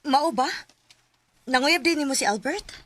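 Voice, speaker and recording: A gentle, high-voiced Cebuano romantic heroine. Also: female voice, normal speed. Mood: coy, prodding. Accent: Filipino, Cebuano. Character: heartfelt woman in sentimental romance, gentle and loving. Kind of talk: Filipino radio drama acting. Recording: radio drama with music underneath.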